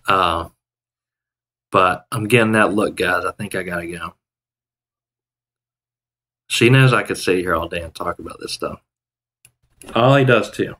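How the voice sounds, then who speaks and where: A man's voice: low-pitched, takes it slowly, pauses often, pushed and bright. A man in his thirties chatting casually on a day-trading live stream from home, rambling with restarts and fillers. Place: home room, indoors. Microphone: headset.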